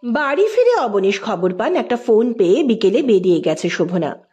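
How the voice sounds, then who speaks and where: A woman's voice: fairly high pitch, very animated, normal speed, warm tone, muffled recording. A woman reading literary fiction aloud as a scripted, dramatic audio story narration.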